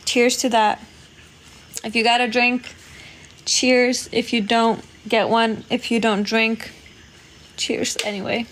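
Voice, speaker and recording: Woman livestream host from Poland; chatty and giggly, slow delivery, phone mic with faint background noise. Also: a little high, level tone, a bit bright. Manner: chatty, giggly, thanks viewers often. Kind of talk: casual livestream chat reading comments.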